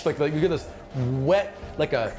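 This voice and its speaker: Animated high-voiced man running a fantasy game. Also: moderate pace, faint music behind. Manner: animated, graphic, colloquial storytelling. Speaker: game master. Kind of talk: improvised narration of a tabletop fantasy game. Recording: noisy room recording. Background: clearly audible background noise.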